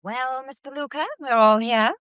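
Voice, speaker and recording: An actress playing a spirited, impatient young granddaughter in a mystery radio drama, forthright and indignant. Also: female voice, high voice, steady tone, moderate pace, smooth, dry recording, lightly acted. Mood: anxious. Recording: dull, muffled radio drama recording.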